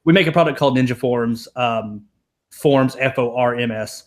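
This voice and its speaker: A man's voice: mid-range voice, normal speed. A young Southern man from Tennessee speaks as a podcast guest in a mid-range voice. He rambles through his thoughts, swears casually and pokes fun at himself. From American South, Tennessee. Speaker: guest, programmer.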